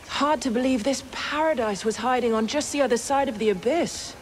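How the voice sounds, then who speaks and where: Sci-fi game role: actress as measured, cautious Jedi woman, dry wit, patient, background ambience. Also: a little high, slight background noise, close to an everyday voice. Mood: dry, teasing. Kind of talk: cinematic video game acting.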